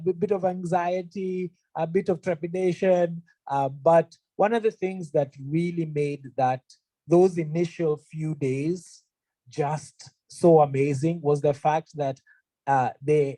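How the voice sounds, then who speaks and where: A man's voice: on the high side, measured pace, dark tone, no echo, poor audio. A young man from Kenya giving an earnest, conversational presentation to prospective students, with frequent fillers. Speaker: university representative. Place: room presentation, also streamed online.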